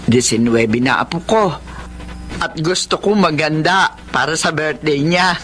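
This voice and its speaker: A woman's voice: low-pitched, measured pace, a bit bright, slight background noise. An actress playing a doting, anxious elderly Filipina grandmother in a radio drama, emotional and pleading. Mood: earnest, gentle. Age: older.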